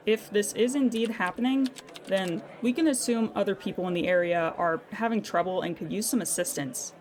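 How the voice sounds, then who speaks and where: A woman's voice: fairly low pitch, lightly acted. A woman playing a weary, sardonic claims agent in an audio drama monologue, with a dry, businesslike manner. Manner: dry, exasperated asides amid businesslike dictation.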